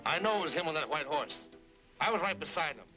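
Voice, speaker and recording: An actor playing a hot-tempered, insistent captain of Western lawmen in an old-time radio drama. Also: male voice, on the high side, expressive, fast, faint music behind, rough recording. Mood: stubborn, accusing. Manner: forceful, indignant, insistent. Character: hot-tempered, vengeful Western lawman captain hunting outlaws.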